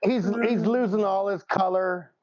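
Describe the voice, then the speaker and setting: A man's voice: sits high, fairly even intonation, a little slow, a little mellow. A man playing a tabletop game, joking in character with casual, melodramatic complaints, on a dull microphone. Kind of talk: casual improvised role-play chat.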